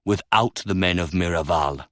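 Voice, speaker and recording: A man voicing a grave nobleman who speaks with a heavy, unnaturally calm bitterness. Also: low voice, normal speed, dry recording, clean studio sound, pitched below the performer's own voice. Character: grave older nobleman, a duke, bitter and controlled.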